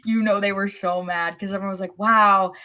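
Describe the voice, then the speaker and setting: Bright-voiced woman, casual sports podcaster. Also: medium pitch, fairly even intonation, fairly quick. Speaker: soccer podcast co-host.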